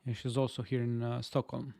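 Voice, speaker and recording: Romanian man with a gravelly, dry voice. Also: medium pitch, completely flat delivery, a little fast, warm tone, dry recording. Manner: hesitant, many fillers and restarts. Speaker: stream co-host. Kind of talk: casual chat. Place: Stockholm.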